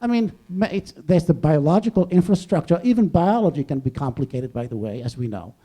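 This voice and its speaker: A man with a very dark, soft-edged voice, pitched a little on the high side, speaks as a professor on a panel. He works through ideas in a discursive, halting way, often restating a phrase before moving on. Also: animated. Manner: discursive, halting, rephrasing as he goes. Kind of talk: extemporaneous academic discussion before an audience.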